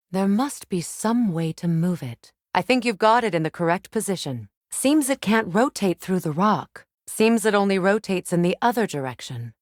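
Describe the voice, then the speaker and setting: Middle-aged warrior goddess, game companion, composed, dryly witty, slightly low pitch, dry studio sound. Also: female voice, dry recording. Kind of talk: video game voice acting, companion lines.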